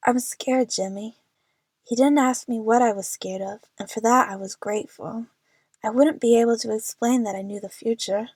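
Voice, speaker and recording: A woman's voice: on the high side, fairly even intonation, normal speed, darkish tone, breathy edge, no echo, studio quality. A woman playing an anxious, lovestruck young woman who narrates her adventure earnestly in an audio drama. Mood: fearful.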